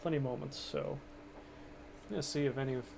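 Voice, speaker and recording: A man streaming a racing game from home, talking in a low-key, monotone, rambling way and keeping his voice down. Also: brisk, vocal fry. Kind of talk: casual gameplay commentary. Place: home, kept quiet for others in the house. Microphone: cheap microphone. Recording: noisy cheap mic with game sound.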